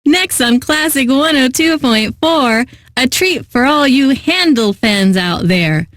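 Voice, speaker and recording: An actress playing a refined, eccentric classical radio host in a video game, speaking in a pompous, theatrical way that savors each phrase. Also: female voice, sits high, no echo, clean studio sound.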